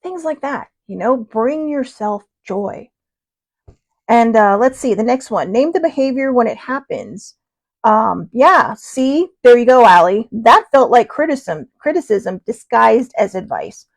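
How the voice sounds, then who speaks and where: A woman's voice: on the high side, very animated, measured pace. A woman in her fifties hosting a casual livestream, chatty and rambling, with lots of fillers and restarts as she answers viewers. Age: 50s. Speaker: host.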